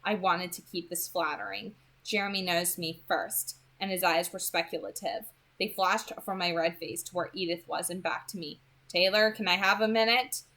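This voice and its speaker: A woman's voice: lowish voice, fairly even intonation, normal speed, few pauses, bright, forceful tone, rough recording. A woman reading a novel aloud as a hobby audiobook narrator, telling the story expressively.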